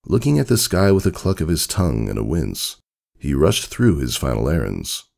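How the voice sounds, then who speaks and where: A man giving a dramatic storybook narration in a measured, solemn, theatrical manner. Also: extremely low pitch, wildly swooping pitch, vocal fry, darkish tone.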